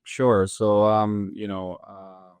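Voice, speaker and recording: A man's voice: fairly low pitch, little pitch movement, slow pace, a little mellow, smooth, dry recording, clean studio sound. Earnest man. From Latin America. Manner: hesitant, many fillers, earnest. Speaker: guest, engineer. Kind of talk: interview answers.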